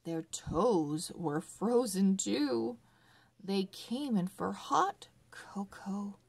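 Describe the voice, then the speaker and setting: Female read-along narrator for young children: deliberate, very slow delivery, lively melodic pitch. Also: creaky, slightly rough. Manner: deliberate, word-by-word reading for early readers.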